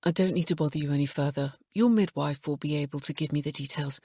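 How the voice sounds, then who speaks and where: A woman playing a calm, gentle detective. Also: deep voice, slightly rough, no echo, studio quality. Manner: gentle, measured and patient. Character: calm, sensitive female police detective.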